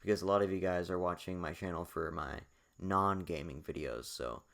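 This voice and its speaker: Young guy w a really low voice, kinda hoarse today, rambly casual gaming chat. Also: moderate pace, fairly bright tone. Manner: rambling, repeats himself, many fillers. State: losing his voice. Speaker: gaming YouTuber. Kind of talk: casual video commentary.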